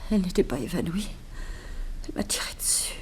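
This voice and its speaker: A woman's voice: lowish voice, lively intonation, fast talker, darkish tone, whispered, close to an everyday voice. An actress playing a brave, devoted, emotional young female sidekick in an old radio crime serial. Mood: faint, dying.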